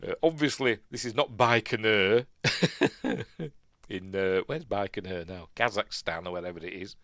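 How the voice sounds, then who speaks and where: A man with a Yorkshire accent hosting a casual film commentary, rambling and musing aloud, often second-guessing himself and trailing off quietly. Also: on the low side, measured pace, dry recording. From Yorkshire, England. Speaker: film review host.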